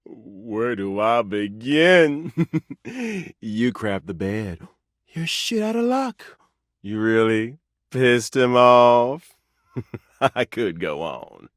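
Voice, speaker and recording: A man's voice: on the low side, very animated, very slow, no room sound, clean studio sound, exaggerated voice. An actor playing a crude, gloating mocker in a video game, drawing out his words. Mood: gloating, mocking. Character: crude gloating mocker delivering slow toilet puns.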